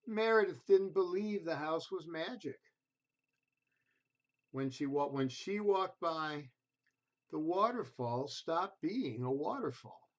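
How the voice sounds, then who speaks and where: Male storybook reader for children: expressive, deliberate delivery that addresses the listener, on a slightly muffled low-fi recording. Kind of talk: children's read-aloud storytelling.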